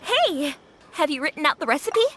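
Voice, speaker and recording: A woman's voice: high voice, very animated, normal speed, noisy background. A woman voicing an upbeat, eager young restaurant owner who chats enthusiastically in a game cutscene. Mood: excited. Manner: enthusiastic and chatty. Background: game music.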